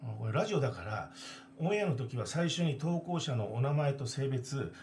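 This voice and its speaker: A man plays an easygoing radio producer in a casual meeting. His pitch moves in a lively way, and there is clear noise in the recording. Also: moderate pace, close to an everyday voice.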